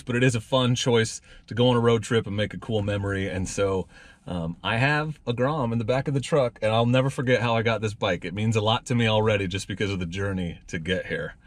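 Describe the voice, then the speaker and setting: A man in his forties hosting a casual road trip vlog, joking and self-mocking, filmed on a handheld action camera in a truck and at roadside stops. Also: medium pitch. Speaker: motorcycle vlogger. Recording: background road and wind noise.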